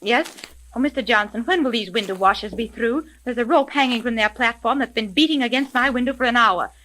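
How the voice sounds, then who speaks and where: A woman's voice: fairly high pitch, a little fast, pushed and bright. A young woman playing a self-assured, businesslike female private detective in a 1930s radio drama. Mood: brisk, impatient. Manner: crisp, firm, businesslike. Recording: noisy vintage 1930s radio recording.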